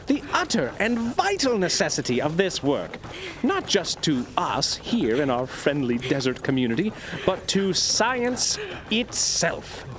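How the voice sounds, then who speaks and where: An earnest, animated obsessive scientist. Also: male voice, fairly high pitch, measured pace, background noise. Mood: urgent, pleading. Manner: formal, grandiose, dictated diction. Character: earnest, obsessive male scientist reading out his research notes. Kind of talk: audio drama monologue as journal narration.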